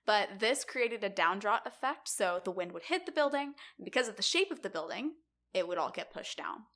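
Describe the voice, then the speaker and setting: A woman's voice: mid-range voice, lively intonation, brisk, fairly bright tone. Casual woman podcaster explaining a story. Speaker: podcast co-host presenting the episode's topic.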